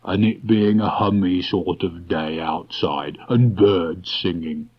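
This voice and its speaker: A man voicing a gentle, simple storybook bear who speaks in a pondering, contented way. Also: deep voice, slow. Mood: thoughtful, content. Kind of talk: storybook character reading. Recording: dull restored cassette tape.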